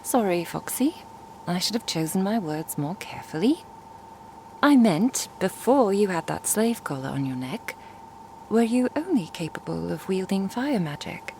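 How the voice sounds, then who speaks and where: A woman playing a curious, composed traveling merchant and master in an ASMR roleplay, speaking in a measured, formal, inquisitive way. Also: medium pitch, sing-song, a little mellow, slight background noise, low-quality recording. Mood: intrigued, gentle. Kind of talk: ASMR roleplay audio drama.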